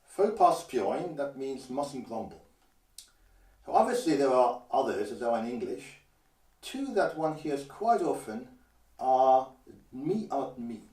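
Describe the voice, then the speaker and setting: A man's voice: medium pitch, very animated, a little mellow. Older Guernsey man teaching, roomy sound. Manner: measured, careful, slows down to model phrases. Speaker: language teacher. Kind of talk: instructional explanation. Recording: roomy echo, a little distant from the mic.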